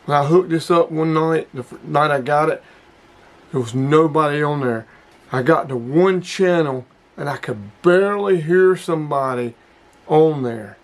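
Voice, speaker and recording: A man's voice: a little high, unhurried. A middle-aged man with a folksy, plain-spoken manner, hosting a casual hobby review vlog. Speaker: hobbyist video host.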